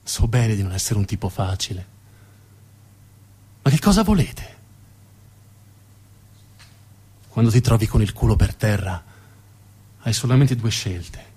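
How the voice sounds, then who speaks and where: A man performs a hard, cynical businessman of the stage, embittered by war. He speaks with commanding drama, his husky voice swinging widely in pitch. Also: expressive, moderate pace, long pauses, dark tone. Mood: grim, defiant.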